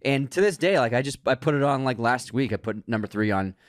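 A man's voice: medium pitch, animated, a little fast, no room sound, studio quality. A man co-hosting a film podcast, chatting casually and enthusiastically with some rambling. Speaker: podcast co-host. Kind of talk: casual chat.

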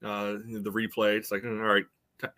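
A man in a casual sports discussion as a podcast co-host, speaking haltingly and often saying "you know". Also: fairly low pitch, monotone, pushed and bright, no room sound.

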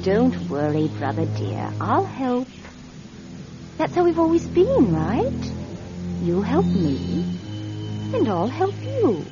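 An actress in a radio drama playing a bossy, cold-blooded, scheming sister. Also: female voice, fairly high pitch, huge pitch swings, slow pace, long pauses, exaggerated voice. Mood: coolly scheming. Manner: domineering, conniving, insistent. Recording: dull vintage radio recording, faint music.

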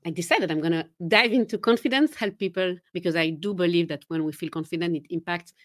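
A woman's voice: lowish voice, fast, dry recording. A woman from Geneva with a Swiss French accent, a native French speaker, chatting as a confidence coach guest, laughing often and restarting her sentences. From Geneva, Switzerland. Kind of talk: casual interview chat.

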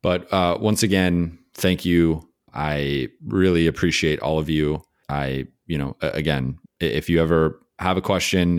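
Very deep-voiced man, halting podcast farewell. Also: clean tone, no room sound, clean studio sound. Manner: halting, many fillers and restarts, sincere. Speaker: podcast host, sports beat writer. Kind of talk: solo farewell podcast message.

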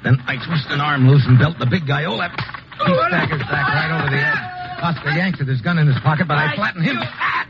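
An actor playing a tough, wry undercover agent, clipped and wisecracking, in 1950s radio noir style. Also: male voice, fairly high pitch, expressive, a little fast, close to an everyday voice. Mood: tense narrating. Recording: muffled, noisy old radio recording.

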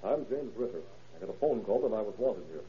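An actor playing an evasive, indignant gentleman under suspicion in a classic radio drama. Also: lowish voice, expressive, fast talker, close to an everyday voice. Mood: hesitant. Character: smooth-talking, evasive, indignant gentleman suspect. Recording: muffled, tinny old radio broadcast.

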